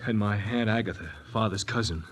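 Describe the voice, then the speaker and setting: An actor playing a frightened, demanding young male heir, excitable and insistent in 1940s radio style. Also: fairly low pitch, brisk, natural voice. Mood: tense.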